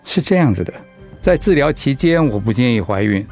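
An actor playing a calm, measured male doctor in a radio drama, explaining things professionally. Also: medium pitch, creaky, soft background music. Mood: measured, advising.

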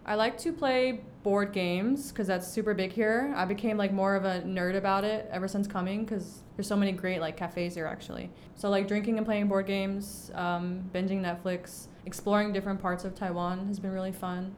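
A woman's voice: mid-range voice, steady tone, normal speed, few pauses, slight background noise. A young woman who grew up in Egypt, chatting casually as a podcast guest, with lots of fillers and an easy laugh. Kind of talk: casual interview chat.

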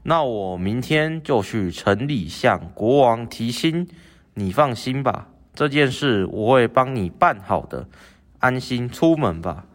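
A boy playing a humble, slow-talking father. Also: mid-range voice, expressive, close to an everyday voice. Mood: reassuring. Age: teenager. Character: dutiful, humble father of a young scholar.

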